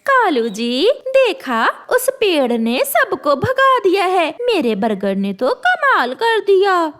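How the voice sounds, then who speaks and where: A woman's voice: very high-pitched, sing-song, slow, a bit bright, pitched above the performer's own voice, exaggerated voice. A woman voicing a scheming, bossy female crow for a children's cartoon, sweet-talking and conniving. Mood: smug. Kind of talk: Hindi children's cartoon voice acting.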